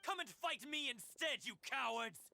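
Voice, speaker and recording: A belligerent, hot-headed townsman in a fantasy game. His extremely high voice is harsh and gravelly as he hurls challenges. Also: lively intonation, normal speed, bright, forceful tone, heavily acted. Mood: outraged.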